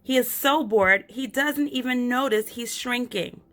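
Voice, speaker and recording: A woman's voice: fairly high pitch, unhurried, voice pushed up. A woman reading as a chatty shrunken girl. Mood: storytelling. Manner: lively storybook read-aloud. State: losing her voice. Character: chatty young girl who has shrunk.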